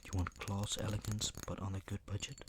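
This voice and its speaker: A man hosting an ASMR video, chatting casually in an offhand, soft-spoken way that sometimes drops to a whisper. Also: fairly low pitch, flat delivery, fairly quick. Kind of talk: ASMR, casual product chat. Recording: clear background noise, tapping and clicking sounds.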